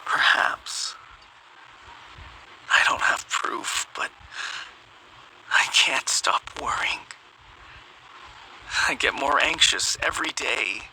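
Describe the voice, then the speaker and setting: A man playing an anxious, haunted young man in a video game, speaking in a hesitant, halting way. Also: medium pitch, lively intonation, vocal fry, bright, forceful tone, a little hiss or hum, full character voice, low-quality recording. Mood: troubled, brooding.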